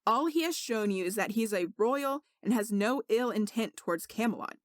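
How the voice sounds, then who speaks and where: A woman's voice: on the high side, animated, moderate pace, dry recording, studio quality, lightly acted. A woman voicing an indignant young male servant who is secretly a sorcerer, speaking earnestly and insistently in a story reading.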